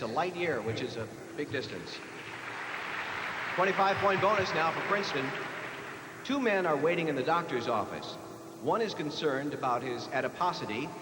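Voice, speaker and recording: An American man hosting a live quiz show, reading out questions and ruling on answers. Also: on the high side. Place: large echoey hall, live televised competition. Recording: noisy, echoey 1980s TV broadcast in large hall.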